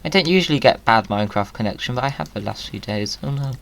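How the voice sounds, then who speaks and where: A man's voice: mid-range voice, expressive, quick pace, vocal fry, a bit bright. A man giving casual, playful gaming commentary with whimsical wording. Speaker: video creator.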